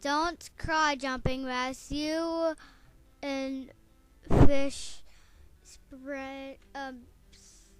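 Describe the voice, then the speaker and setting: A woman voicing a gentle magic frog in a storybook, reading very slowly and haltingly. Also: high-pitched, fairly even intonation, full character voice. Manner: very slow, halting reading with restarts.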